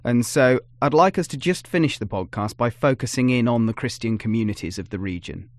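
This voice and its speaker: Casting: male podcast host, courteous and guiding, mid pitch, dry close sound. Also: a little fast, no echo. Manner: polite, gently guiding. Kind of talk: podcast interview.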